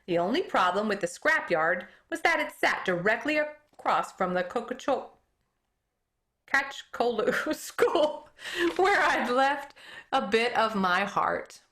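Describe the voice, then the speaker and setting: A woman, an elementary school teacher, reading a children's book aloud with expressive storytelling, stumbling over hard names and commenting on them. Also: on the high side, measured pace.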